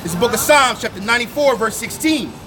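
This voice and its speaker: A man's voice: very high-pitched, lively intonation, fast talker, rough recording. A man reading scripture aloud on a city street in a proclaiming, rising cadence, then preaching on it. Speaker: scripture reader. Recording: street crowd and music behind.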